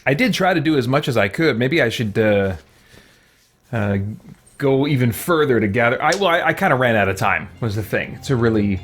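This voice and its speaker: A man's voice: mid-range voice, expressive, normal speed, a bit bright. A man doing live roleplay commentary on a video game, switching between theatrical interjections and hesitant asides. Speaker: game streamer.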